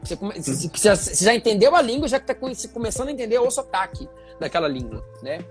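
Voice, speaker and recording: Chatty animated Brazilian host. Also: male voice, sits high, fast, soft background music. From Minas Gerais, Brazil. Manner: chatty, animated, many fillers. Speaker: show host. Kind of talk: casual conversation.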